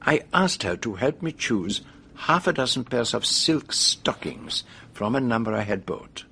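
A man's voice: mid-range voice, sing-song, a little slow, gravelly, bright, forceful tone, slight background noise, exaggerated voice. An actor playing an older male detective in a radio drama, formal and courteous, with a French-speaking European accent and polite hesitations. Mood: shrewd, deliberate. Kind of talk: BBC radio drama acting.

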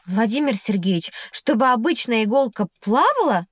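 A woman's voice: a little high, sing-song, normal speed, no echo, studio quality. A woman playing an inquisitive, polite and enthusiastic schoolgirl in a scripted educational audio drama. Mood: polite, intrigued.

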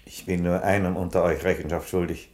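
A man playing a deep, grave Roman emperor. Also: level tone, a little fast, darkish tone, roomy sound, natural voice. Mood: calm, solemn. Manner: solemn, declamatory, from whispers to thunder. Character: grave, defiant Roman emperor, fatherly, solemnly judging. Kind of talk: theatrical radio drama acting.

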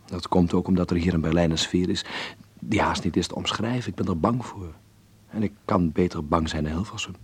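A man acting an earnest young man who voices his letter home in a radio play, measured and reflective. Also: low voice, slightly rough. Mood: pensive. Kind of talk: voiced letter narration in Dutch radio drama.

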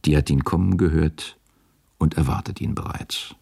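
A grave radio-play storyteller. His voice is extremely low, gravelly and husky, in a dry acoustic. The narration is measured and sombre. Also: mellow, dry recording, exaggerated voice. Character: grave male storyteller of a dark novel. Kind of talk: scripted narration.

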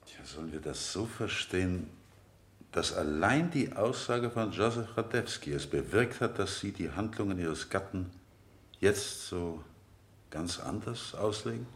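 A relentless courtroom lawyer with a lowish voice. He questions formally and pointedly, full of sardonic insinuation. It has the sound of a vintage radio play in an echoey room. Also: expressive, measured pace. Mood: suavely insinuating. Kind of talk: 1970 German radio play acting.

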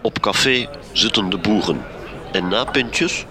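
An actor playing a droll storyteller, narrating a gothic radio comedy with a Flemish accent. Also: male voice, lowish voice, unhurried, a crowd in the background, natural voice. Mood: droll, theatrical. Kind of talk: scripted radio drama narration.

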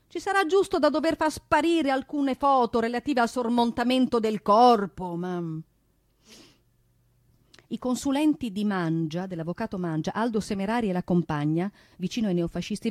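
A woman narrating a dramatic theatrical monologue, emphatic, with pointed pauses and rhetorical delivery. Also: a little high, darkish tone, dry recording, studio quality. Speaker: stage narrator and singer. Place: radio studio.

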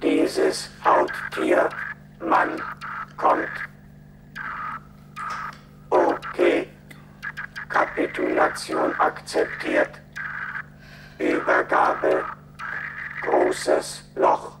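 A man voicing a terse, commanding alien chief in halting broken phrases in a science-fiction radio play. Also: extremely low pitch, completely flat delivery, heavy background noise, heavily acted. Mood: cold, demanding. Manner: halting broken telegram speech. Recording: echoey, distant, over loud music.

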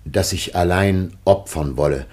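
A man's voice: deep voice, measured pace, darkish tone. An actor playing an ancient dying father, solemn, anguished and tender, in a declamatory classic German radio play. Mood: sorrowful, tender.

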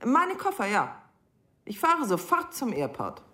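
An imperious middle-aged wife in a radio drama. Her voice is fairly high and swings widely in pitch, with a brisk, theatrical edge. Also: wildly swooping pitch, sharp tone, heavily acted. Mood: brisk, commanding.

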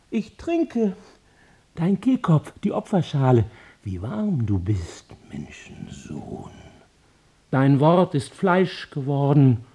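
An apocalyptic female visionary for a radio play. She intones short poetic phrases at a very drawn-out pace, her pitch rising and plunging. The effect is menacing and ritual. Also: darkish tone. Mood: incantatory. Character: visionary, menacing woman chanting apocalyptic incantations. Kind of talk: poetic radio drama monologue.

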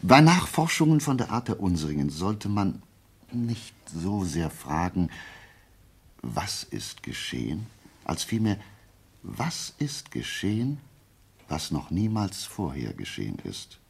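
Very deep, gravelly methodical detective. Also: male voice, very animated, full character voice. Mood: musing, smug. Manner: deliberate, pedantic, musing with emphatic pauses. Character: brilliant, self-assured, methodical male detective. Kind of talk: German radio play acting.

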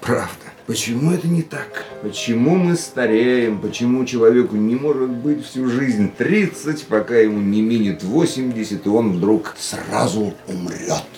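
A man's voice: medium pitch, a little slow, hardly any pauses, a little mellow, natural voice. An actor playing a passionate, jealous man in a radio play, talking in an intimate, earnest way. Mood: tender. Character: passionate jealous male racing driver. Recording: radio play with faint music.

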